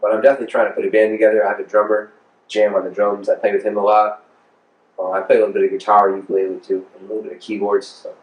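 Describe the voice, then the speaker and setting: A man's voice: on the low side, level tone, rapid-fire, far from the mic. An American man in his thirties chatting casually in an interview as a music producer, informal, slangy and rambling. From Rhode Island, United States. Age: 30s. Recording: roomy, echoing, off the mic.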